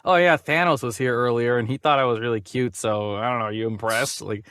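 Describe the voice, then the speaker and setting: A man hosting a casual movie news chat, jokey and animated, sometimes stumbling as he reads. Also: mid-range voice, a little fast, smooth, dry recording, clean studio sound. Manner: jokey, animated, stumbles over names when reading. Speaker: podcast host reading and discussing movie news.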